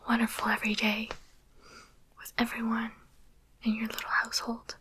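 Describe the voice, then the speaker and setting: A woman playing a kindly female demon physician in an ASMR roleplay, speaking in a breathy, soothing whisper with a courteous bedside manner. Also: fairly high pitch, completely flat delivery, normal speed, background noise, close to an everyday voice. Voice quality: breathy, mostly unvoiced whisper. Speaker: physician giving an exam.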